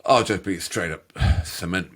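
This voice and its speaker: A man's voice: deep voice, a little slow, fairly bright tone, slightly rough. A middle-aged man, co-hosting a reaction video, joking and laughing often in casual banter.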